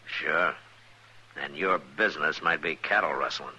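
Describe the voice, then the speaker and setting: A man playing a stern, steady frontier marshal in a western radio drama, speaking in a firm, terse, authoritative way. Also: extremely low pitch, a little slow, breathy edge, full character voice. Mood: stern, pressing. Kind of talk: old-time radio western drama acting. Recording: dull, lightly noisy old radio recording.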